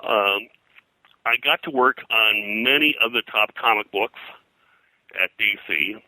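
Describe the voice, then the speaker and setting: An older man, a pop culture historian being interviewed, reminiscing in a halting, digressive way full of detail. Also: lowish voice, sharp tone, no echo, rough recording. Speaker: pop culture historian and comics colorist, guest.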